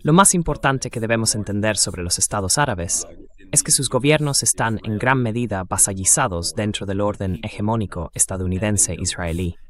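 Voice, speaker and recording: Low-pitched man in emphatic political commentary. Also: normal speed, a bit bright. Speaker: translated voice of a guest commentator.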